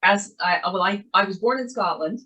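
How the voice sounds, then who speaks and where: A woman's voice: mid-range voice, moderate pace, sharp tone, lo-fi sound. An older woman from Canada, a guest author, chatting in an interview and telling her life story in a rambling way with asides, over a video call. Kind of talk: casual interview chat.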